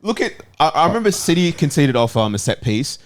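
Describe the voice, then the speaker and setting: A man's voice: a little high, very animated, a little fast. A man challenging others with questions in a casual football debate, speaking as a panelist. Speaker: football talk show panelist.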